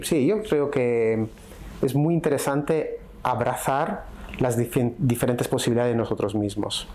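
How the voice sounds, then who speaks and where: Guest type: middle-aged Italian-accented man, mid-pitched, thoughtful and unhurried, faint hiss behind him. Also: darkish tone. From Italy. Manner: reflective, unhurried, often tags with "¿no?". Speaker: podcast guest. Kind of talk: casual conversation.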